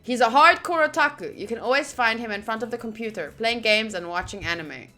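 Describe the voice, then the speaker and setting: A woman streamer reading a visual novel's narration aloud during a playthrough, with expressive, gushing asides. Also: fairly high pitch, fairly quick, soft background music. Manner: expressive, gushing asides between read passages.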